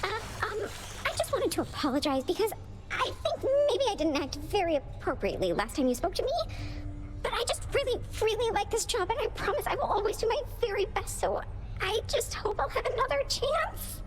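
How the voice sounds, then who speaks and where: A squeaky, flustered underworld servant girl. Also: very animated, a little fast. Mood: anxiously apologetic. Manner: nervous, stammering, apologetic, earnest. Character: flustered, eager, anxious young female underworld servant. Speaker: video game voice actor. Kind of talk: scripted video game dialogue.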